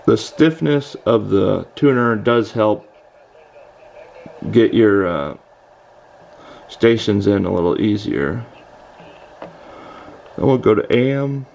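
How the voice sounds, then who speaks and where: American male reviewer, low and gravelly, understated and hesitant, casual demo over faint noise. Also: expressive, slow, long pauses, a little mellow, low-quality recording. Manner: hesitant, understated, matter-of-fact. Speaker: product reviewer. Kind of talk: casual product demonstration.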